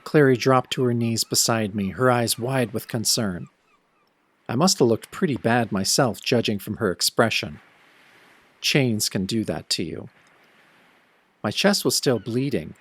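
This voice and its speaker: A man's voice: mid-range voice, husky, no room sound, close to an everyday voice. An actor playing a world-weary young man cursed as a werewolf, narrating his own story in old radio horror style, brooding and sardonic. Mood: wry, grim. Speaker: first-person narrator of an audio drama.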